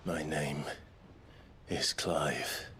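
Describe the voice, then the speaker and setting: A tormented, defiant warrior in a fantasy video game. His deep voice is very slow and intense, often falling to breathy whispers. There is noticeable noise behind him. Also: steady tone, exaggerated voice. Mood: shaken, resolute. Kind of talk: dramatic video game voice acting.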